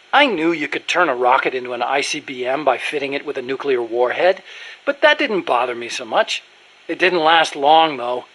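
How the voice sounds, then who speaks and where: Animated, high-pitched husky male scientist. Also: low-quality recording. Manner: earnest, animated explaining. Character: earnest, talkative male American nuclear scientist. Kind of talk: video game voice acting.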